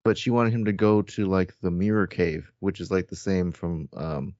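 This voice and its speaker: Man, deep mellow voice, hesitant casual co-host, dull dry recording. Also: normal speed, no room sound. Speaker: podcast co-host. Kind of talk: casual chat.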